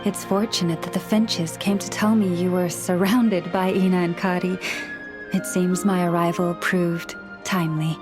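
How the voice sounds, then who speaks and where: A woman voicing a gentle, gracious young priestess in a video game, speaking in a formal, measured, polite way. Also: lowish voice, steady tone, close to an everyday voice. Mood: calm, apologetic. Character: gentle, gracious young female moon priestess, serenely courteous. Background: background music.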